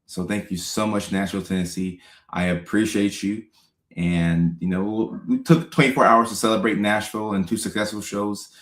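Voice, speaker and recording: A man in his thirties hosts a relaxed livestream, reading out viewer comments and giving upbeat, repeated thanks. His voice sits on the low side. The room rings with noticeable echo. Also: moderate pace. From Ghana. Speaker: comedian and show host. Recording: roomy echo.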